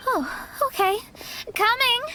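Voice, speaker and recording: A woman's voice: very high-pitched, expressive, takes it slowly, background noise, heavily acted. A woman voicing a proud, prickly young female astrologist in a video game, earnest and self-important in manner. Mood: flustered.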